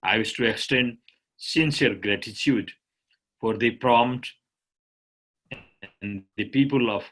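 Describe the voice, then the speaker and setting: A man from Nepal with a Nepali accent, an embassy official giving a formal, ceremonious prepared speech in a measured way. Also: mid-range voice, fairly bright tone. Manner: measured, ceremonious, stately delivery.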